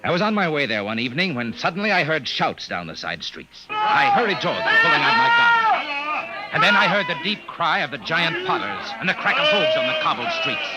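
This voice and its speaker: Casting: sincere junior officer telling his tale, lightish pitch, very expressive, vintage radio with music beneath. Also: brisk, very noisy. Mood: reflective, dramatic. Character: earnest young space assistant recounting his story. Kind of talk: 1950s radio drama acting and narration.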